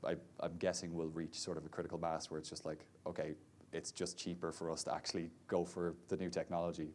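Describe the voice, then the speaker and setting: A man's voice: low-pitched, flat delivery, fast talker, darkish tone, slight background noise. A man, a VR developer, speaking casually on a panel in a chatty way, full of "sort of" and "like". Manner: chatty, many fillers like "sort of" and "like".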